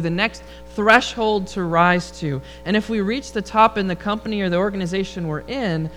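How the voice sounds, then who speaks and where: A man with a high, rather feminine-sounding voice, preaching a relaxed, humorous sermon and leading prayer before a congregation. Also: poor audio. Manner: relaxed, storytelling delivery with humor. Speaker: pastor. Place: church sanctuary before a congregation.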